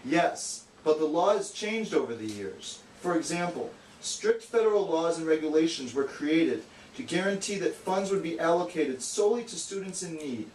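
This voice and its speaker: A man's voice: fairly high pitch, expressive, normal speed, hardly any pauses, darkish tone. Earnest guy doing a scripted explainer, straight man type, echoey and distant with faint noise.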